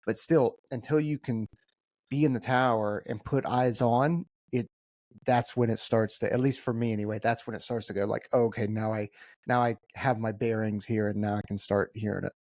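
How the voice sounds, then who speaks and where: A man's voice: fairly low pitch, steady tone, moderate pace, darkish tone, no echo. American man, dry close studio sound. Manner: informal, conversational. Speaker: podcast host. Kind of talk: casual podcast conversation.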